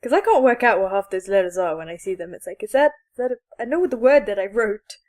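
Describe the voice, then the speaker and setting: A woman co-hosting a podcast in casual chat, animated and opinionated. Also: medium pitch, fast talker. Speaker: podcast co-host.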